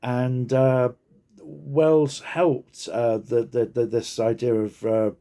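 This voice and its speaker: A man talks informally and without a script in a relaxed, mellow voice. His delivery is very slow and hesitant, broken up by frequent ums and pauses, at a medium pitch. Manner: halting, hesitant, heavily punctuated with ums and pauses. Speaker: speaker giving personal reflections. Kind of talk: informal unscripted talk.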